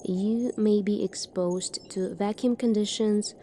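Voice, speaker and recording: A woman in a soft-spoken ASMR roleplay, playing a calm, formal military doctor in a measured, clinical manner. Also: mid-range voice, slight background noise.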